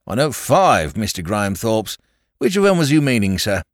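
A man's voice: on the low side, sing-song, moderate pace, no echo, studio quality. A man voicing an eager, talkative Cockney pub landlord of about fifty-five, in a London Cockney accent. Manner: chatty, eager, dropped grammar.

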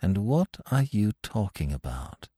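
A man voicing a cynical, world-weary young woman thief in an audiobook story, with a cool, slow delivery. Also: on the low side, lively intonation, mellow, husky, no room sound, studio quality, exaggerated voice. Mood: slow, wondering.

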